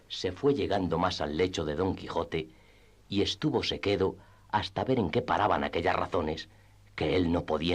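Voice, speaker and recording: Husky, low-voiced male radio theatre narrator.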